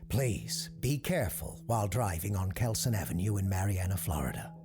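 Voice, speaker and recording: An actor playing a composed, earnest parapsychologist hosting an eerie radio show, speaking in a measured, formal announcer style. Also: male voice, lowish voice. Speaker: host of a paranormal call-in radio show. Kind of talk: scripted radio-show narration.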